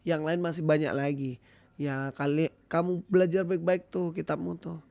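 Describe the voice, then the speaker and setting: A hoarse man with an Indonesian accent, giving casual commentary to his viewers as a video host. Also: fairly high pitch, little pitch movement, moderate pace, a little mellow, no echo. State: hoarse, recovering from illness. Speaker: YouTube host.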